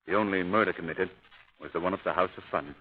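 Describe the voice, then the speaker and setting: An actor playing a suave, easygoing gentleman sleuth in a 1930s radio drama. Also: low voice, fast, lightly acted. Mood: relaxed, genial. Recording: tinny, band-limited 1930s radio recording.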